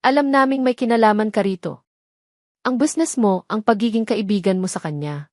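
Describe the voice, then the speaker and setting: A woman voicing a cruel older mother-in-law in an audio drama, sternly shouting accusations. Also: medium pitch, fairly quick, no echo, clean studio sound, lightly acted. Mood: hostile, accusing.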